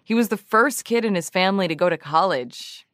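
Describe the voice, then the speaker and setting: A woman's voice: medium pitch, animated, brisk, a bit bright, no echo, studio quality, natural voice. An actor playing a sardonic, businesslike woman journalist who hosts an investigative mystery podcast in an audio drama, pointed and articulate. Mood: measured, narrating. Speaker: investigative show host.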